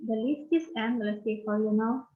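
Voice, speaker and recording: A woman's voice: on the high side, normal speed, phone-quality sound. A woman teacher from Peru with a Peruvian Spanish accent, leading an online English lesson and patiently repeating phrases for learners to copy.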